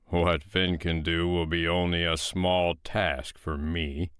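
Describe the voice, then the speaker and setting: A man voicing a huge blustering male giant who roars and growls in slow, boastful speech. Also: deep voice, flat delivery, fairly bright tone, clear, resonant tone, no echo, studio quality. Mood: gruff.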